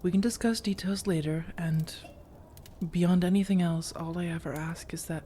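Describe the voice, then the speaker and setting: Mellow, soft-spoken flirtatious vampire woman, acted out by a woman. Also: fairly low pitch, steady tone, a little hiss or hum, lightly acted. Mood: coaxing, reassuring. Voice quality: soft, breathy delivery slipping into whispers. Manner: teasing, measured, intimate, with deliberate pauses. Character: flirtatious, coaxing female vampire seeking a roommate. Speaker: audio roleplay performer. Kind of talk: soft-spoken ASMR roleplay.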